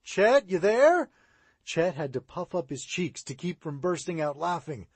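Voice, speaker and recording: A man reading a children's audiobook aloud in an expressive storytelling manner. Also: on the high side, moderate pace, husky, no echo. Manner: expressive read-aloud, rising and falling. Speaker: audiobook reader.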